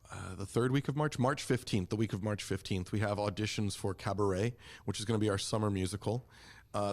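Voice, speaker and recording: A man's voice: on the low side, darkish tone. A man speaking enthusiastically and encouragingly in a casual interview as a community theater representative.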